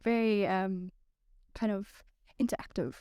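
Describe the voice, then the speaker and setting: Giggly woman with a smooth voice. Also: medium pitch, steady tone, measured pace, no room sound, highs cut off. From South Africa. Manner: giggly, hesitant explaining. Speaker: visual artist. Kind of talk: casual chat.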